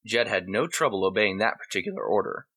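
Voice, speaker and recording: A man's voice: fairly low pitch, lively intonation, a little fast, fairly bright tone, breathy edge. A man reading a short story aloud as scripted narration.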